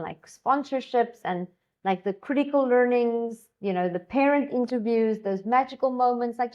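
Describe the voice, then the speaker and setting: A woman's voice: mid-range voice, darkish tone. Enthusiastic woman, muffled. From South Africa. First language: Afrikaans. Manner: enthusiastic, rambling with many fillers and restarts. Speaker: co-founder and education designer. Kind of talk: casual conversation.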